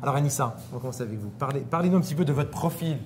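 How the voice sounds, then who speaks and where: A man hosting a casual on-location interview, often halting as he searches for words. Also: mid-range voice, darkish tone. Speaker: interviewer and host. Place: restaurant. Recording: clear background noise and hum.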